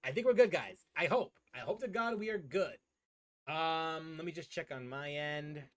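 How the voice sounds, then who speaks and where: High-voiced man streaming a game. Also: moderate pace. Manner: hesitant, repeats phrases, apologetic. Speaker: game livestreamer. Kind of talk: casual livestream gaming chat. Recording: game music behind the voice. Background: video game music.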